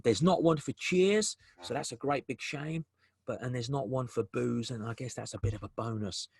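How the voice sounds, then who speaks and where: A man from South Africa, speaking with a South African accent, leads a webinar over a video call. His voice is husky and the sound is close and dry, with no room around it. He often repeats words and restarts phrases mid-thought. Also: medium pitch, darkish tone, no echo. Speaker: webinar presenter, business development specialist. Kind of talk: presenting a webinar.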